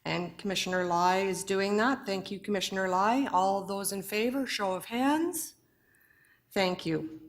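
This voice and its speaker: A woman with a hoarse voice runs a formal board meeting from a council chamber. She speaks in a measured, procedural way, with the odd filler. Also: mid-range voice. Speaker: board chair.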